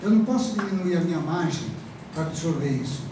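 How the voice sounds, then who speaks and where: A Brazilian man gives a rambling, chatty university lecture, his fairly high voice full of fillers and tag questions. He sounds far from the microphone, in a strongly echoing classroom. Steady background noise runs beneath his words. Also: fast talker. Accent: Brazilian Portuguese. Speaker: professor. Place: large classroom. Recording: echoey classroom from far off, noisy.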